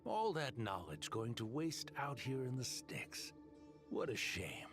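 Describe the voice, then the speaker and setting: A slick, ruthless desert mercenary sells his schemes with swinging, lively intonation. He is cocky, slangy and money-hungry, a video game villain talking over music. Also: mid-range voice, slow pace, heavily acted. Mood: slick, persuasive. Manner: persuasive, cocky, casual slangy drawl of a salesman. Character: smooth-talking, ruthless desert mercenary arms dealer, greedy man.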